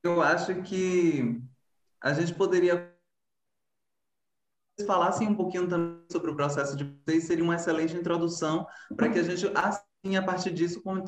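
A man hosts an informal conversation. His voice sits a little higher than average. The room around him rings with echo. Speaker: host moderating a conversation. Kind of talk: polite informal moderating. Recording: echoey room.

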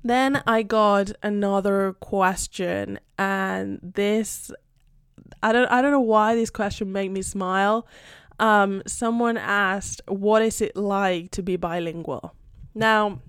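Gravelly-voiced Spanish woman chatting casually. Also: mid-range voice, steady tone, slow, dry recording, clean studio sound. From Spain. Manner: chatty, frequent fillers like "like" and "um". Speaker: podcast host and English teacher. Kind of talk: casual solo podcast chat.

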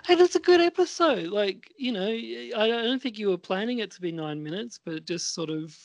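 A woman's voice: mid-range voice, animated, a little fast, darkish tone, dry recording. A woman in her forties chatting casually as a podcast co-host, rambling a little hesitantly. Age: 40s. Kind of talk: casual chat.

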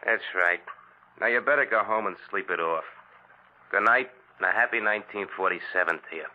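An actor playing a tired, blunt bartender at closing time in a 1940s radio drama. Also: male voice, on the low side, fairly quick, close to an everyday voice. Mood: weary, impatient. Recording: tinny, faintly noisy 1940s radio recording.

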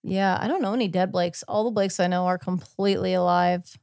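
A woman's voice: lowish voice, fairly even intonation, a little fast, vocal fry, darkish tone, clean tone, dry recording, studio quality. A woman co-hosting a true crime podcast in casual, conversational style. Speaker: podcast co-host.

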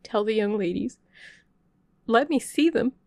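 A woman's voice: mid-range voice, fairly even intonation, normal speed, creaky, a little mellow, no echo, clean studio sound, natural voice. A woman voicing a desperate, exhausted young woman who pleads for shelter in an imploring manner. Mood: pleading, desperate.

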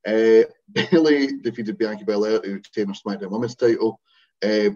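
A man's voice: on the low side, dark tone. A man co-hosting a podcast in casual chat, recapping matches with a dry, wry manner. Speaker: podcast co-host. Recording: dull lo-fi podcast mic.

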